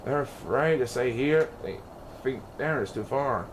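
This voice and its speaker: A man reading a rhyming children's story aloud in an expressive, playful, sing-song way. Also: medium pitch, unhurried, background noise. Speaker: picture book reader.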